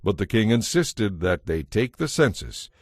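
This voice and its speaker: A man's voice: fairly low pitch, sing-song, unhurried, dry recording, clean studio sound. A man giving a scripted, dramatized narration of scripture as the narrator.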